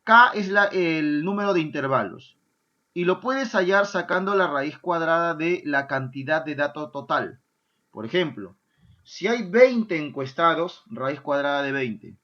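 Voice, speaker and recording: A male math teacher gives a lively class. He keeps checking in with his students. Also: fairly high pitch, unhurried, highs cut off. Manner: lively, asks "¿ya?" and "¿correcto?" often, answers his own imagined student questions. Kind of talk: online math lesson.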